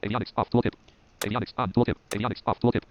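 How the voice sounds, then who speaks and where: A man's voice: lowish voice, level tone, racing. A synthetic male screen reader voice announcing interface labels in a clipped, robotic way. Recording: lo-fi with loud background noise, beeps.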